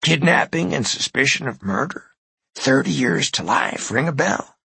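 A man voicing a vengeful older villain who taunts his victim in a slow, low, half-whispered gravelly voice. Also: expressive, bright, forceful tone, dry recording, heavily acted. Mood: bitter. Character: cold, menacing old male avenger.

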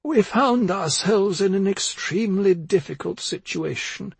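A man voicing an elderly woman doctor in an audiobook, frail and calculating, speaking in a measured, polite and evasive way. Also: high-pitched, vocal fry, husky, no room sound, voice pushed up, heavily acted. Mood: hesitant, explaining. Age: older. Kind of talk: audiobook character dialogue.

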